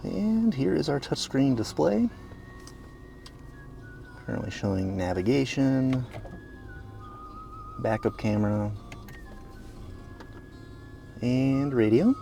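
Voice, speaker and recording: A man, a car salesman, giving a casual walkaround tour of a vehicle at a dealership, talking in a relaxed, plain way with frequent fillers. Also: mid-range voice, slow pace, creaky, pauses often, faint music behind.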